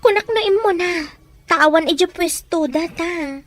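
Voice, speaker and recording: A young Ilocano daughter in a radio drama. Her voice sits extremely high, and she speaks earnestly and pleadingly, worried for her siblings. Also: a little slow, soft background music.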